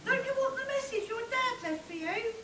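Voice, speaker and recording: A woman playing a rough, boisterous male sidekick in a spoof radio play performed live. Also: very high-pitched, animated, normal speed, heavily acted. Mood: gruff, curious. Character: rough, boisterous tough-guy sidekick Monk, a man. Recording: echoey distant room, loud hiss and noise.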